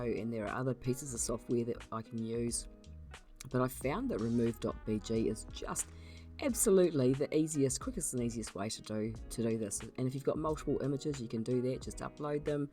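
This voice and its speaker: A woman with a croaky voice from being unwell, hosting a casual software tutorial and explaining her steps in a chatty way with many ums. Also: rumbling low. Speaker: YouTube channel host.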